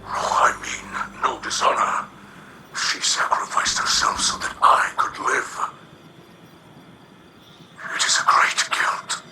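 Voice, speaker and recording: A man voicing a large, gentle alien captain in a game cutscene, humble and guilt-ridden, with a breathy, growling rasp and halting, formal speech. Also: slight background noise, rough recording. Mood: grieving, guilty. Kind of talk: dramatic video game cutscene acting.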